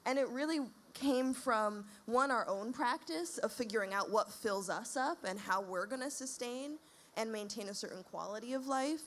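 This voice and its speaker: A woman's voice: a little high, moderate pace, fairly bright tone. A woman on a panel, explaining her podcast project in a reflective way and at length. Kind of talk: panel discussion.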